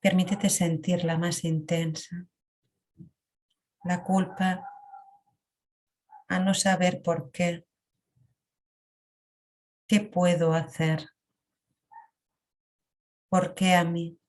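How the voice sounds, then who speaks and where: A woman leading a guided emotional meditation in a calm, reflective way. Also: lowish voice. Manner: slow, calm, reflective guidance with long pauses.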